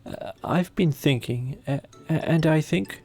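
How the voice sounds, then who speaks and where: Gentle male teddy bear, played by a narrator, halting, mild, slow, bumbling, storybook. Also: mid-range voice. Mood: hesitant.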